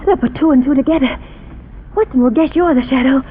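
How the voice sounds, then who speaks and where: An actress playing an anxious young heroine in a 1930s radio drama, breathless and urgent. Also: female voice, high voice, fast talker, a little hiss or hum, lightly acted. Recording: tinny 1930s radio broadcast.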